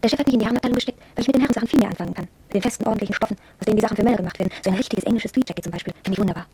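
An actress playing a proud, headstrong young shop apprentice, confiding matter-of-factly in a radio drama monologue, with a husky voice. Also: female voice, medium pitch, rapid-fire, mellow, no room sound. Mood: confiding, defiant.

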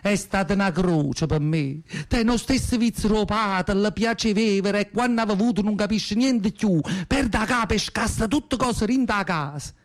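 A man's voice: sits high, moderate pace, few pauses. A male actor playing an elderly southern Italian mother, rambling and confiding her memories in Neapolitan dialect in a radio monologue. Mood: resigned, plaintive. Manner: rambling, confiding reminiscence with repeated phrases. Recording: guitar music behind the voice. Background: plucked guitar music.

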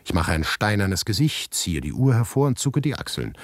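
An actor playing an anguished, paranoid writer who narrates his grotesque misadventure in a measured, inward radio monologue, with a husky low voice that slips into whispers. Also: sing-song, no echo. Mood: watchful, restrained. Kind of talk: literary radio monologue, first-person narration.

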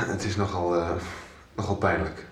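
A brusque, evasive young man in a radio soap. His lowish voice is blunt and halting, heard in a clearly roomy space. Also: fairly even intonation, fairly bright tone. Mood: evasive, uneasy.

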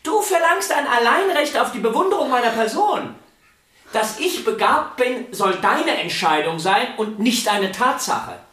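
An actor playing a vain, stubborn star violinist in a German radio play, arguing in a self-important, theatrical way. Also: male voice, very high voice, animated, a little slow, sharp tone, exaggerated voice. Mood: indignant. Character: vain, stubborn, celebrated male violinist arguing with his sister. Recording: roomy indoor echo.

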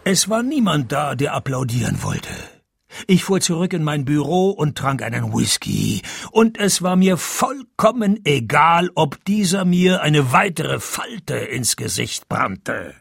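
A jaded private eye in a comic noir radio play. His voice is gravelly, a little high and very inflected, delivering sardonic lines with dry wit. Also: sing-song, a little slow, heavily acted. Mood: world-weary, sardonic. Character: world-weary, sardonic private detective narrating his case.

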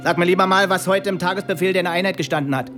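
Bitter young Nazi officer, higher-pitched man acting. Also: steady tone, rapid-fire, natural voice. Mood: sarcastic, accusing. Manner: interrogating, biting sarcasm. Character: suspicious, bitter young Nazi intelligence officer Erik, wounded former friend. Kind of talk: radio drama acting. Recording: studio radio play with faint music.

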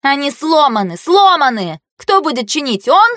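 Woman acting a shrill, hot-tempered young lady. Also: big pitch swings, moderate pace, a bit bright, no room sound, clean studio sound. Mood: furious. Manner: shrill, complaining, snapping.

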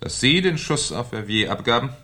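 An actor playing a shrewd, sardonic French police commissioner in a German radio crime drama, with a dry, probing manner. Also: male voice, mid-range voice, sing-song, moderate pace, pushed and bright. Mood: pressing, ironic.

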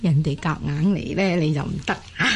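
Low-voiced chatty old Cantonese midwife. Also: female voice, fairly quick, breathy edge. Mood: amused, soothing. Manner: fussy, chuckling, soothing, many interjections. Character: chatty reassuring old midwife of a Mongol tribe. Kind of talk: Cantonese radio drama acting.

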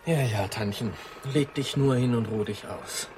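An actor playing an outwardly caring but calculating young nephew in a German radio drama, with a measured, probing manner. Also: male voice, mid-range voice, a little mellow, a little hiss or hum, lightly acted. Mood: soothing, resigned.

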